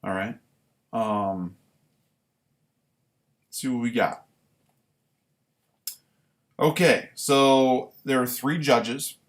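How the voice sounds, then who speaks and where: Male live reader of his own work, medium pitch, unhurried and halting, casual and deliberate. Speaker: writer reading own work; former military recruit. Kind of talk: live reading of own writing with casual commentary.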